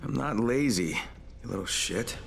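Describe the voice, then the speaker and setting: A man playing a gruff, wary undercover agent among survivors in a video game. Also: medium pitch, expressive, normal speed, background noise. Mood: defensive.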